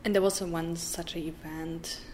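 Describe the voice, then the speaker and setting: A woman with a German accent, German being her first language, talking haltingly as an interview guest, with many pauses and restarts. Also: fairly low pitch, steady tone, darkish tone, slight background noise. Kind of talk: personal interview.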